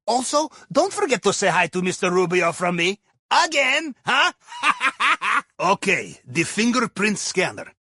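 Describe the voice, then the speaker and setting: A jovial Russian captain guides a crew through a video game heist. His Russian-accented voice sits high for a man and swings widely in pitch, with dropped articles and playful questioning tags. The sound is dry and close. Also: big pitch swings, unhurried, pushed and bright, breathy edge, no echo, full character voice. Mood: jovial, teasing. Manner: playful, theatrical, drops articles, tags sentences with questioning "yes?" or "huh?". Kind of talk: video game mission dialogue over comms.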